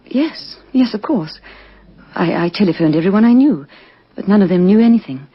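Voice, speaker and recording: Breathy, bewildered widow. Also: female voice, mid-range voice, lively intonation, moderate pace. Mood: hesitant, anxious. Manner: hesitant, faltering. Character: bewildered, anxious widow questioned by police. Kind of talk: old radio crime drama acting. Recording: muffled vintage radio recording.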